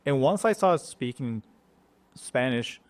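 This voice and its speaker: A middle-aged man from Japan with a Japanese accent, a chef talking as a guest in an interview, pausing often and restarting his sentences. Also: mid-range voice, animated, no echo. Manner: halting, many fillers and restarts. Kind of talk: casual interview talk.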